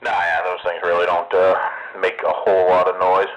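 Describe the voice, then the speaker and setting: A man's voice: low voice, completely flat delivery, normal speed, highs cut off. A man chatting casually on CB radio in a rambling, technical, sweary way. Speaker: amateur CB radio operator. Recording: noisy CB radio audio with static.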